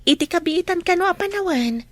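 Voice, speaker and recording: High-voiced devoted young Filipino wife. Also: female voice, expressive, a little fast, no echo. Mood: hopeful. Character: devoted young wife missing her absent husband. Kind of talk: Ilocano radio drama acting. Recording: studio drama with music underneath.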